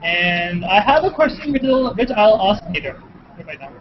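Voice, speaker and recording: A man from Pakistan asking a question on a video call, halting with many restarts. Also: high-pitched, expressive, crowd noise. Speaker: guest on the call. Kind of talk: casual questioning. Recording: dull lo-fi video call audio.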